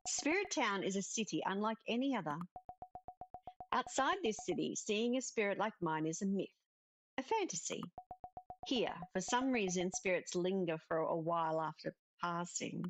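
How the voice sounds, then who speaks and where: Woman as ghostly witch mentor, kind n patient, explains stuff, lively intonation, soft game music. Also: mid-range voice, normal speed, natural voice. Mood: solemn. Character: spirit of an elder witch, kindly female mentor. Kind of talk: cozy visual novel dialogue read aloud.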